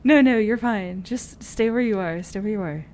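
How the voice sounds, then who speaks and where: Woman, medium pitch, casual livestream host, giggly, playfully sweary, noticeable background noise. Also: normal speed, darkish tone. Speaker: streamer hosting a tarot reading.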